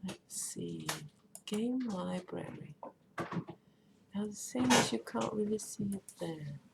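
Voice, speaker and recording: A woman's voice: mid-range voice, lively intonation, slow pace, gravelly. A woman streamer with Danish as her first language, chatting casually and rambling while she plays and reads chat aloud. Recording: faint background noise.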